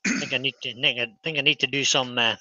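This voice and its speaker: A man with a Scottish accent hosting a live woodturning stream, chatting in a relaxed way as he works in an indoor workshop. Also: mid-range voice, quick pace, highs cut off. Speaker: woodturner host. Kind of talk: casual chat while demonstrating.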